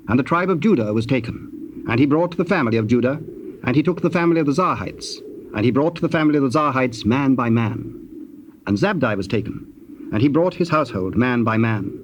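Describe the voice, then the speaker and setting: A man narrating scripture in a solemn, measured and formal manner. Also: medium pitch, natural voice. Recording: dull, noisy old cassette tape.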